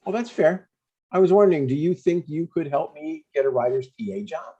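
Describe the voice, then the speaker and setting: Guy reading eager stubborn dad in his 60s, husky voice, kinda muffled lo-fi recording. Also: fairly high pitch, a little fast, mellow. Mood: earnest, stubborn. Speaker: actor in a table read. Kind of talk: conversational comedy script read aloud.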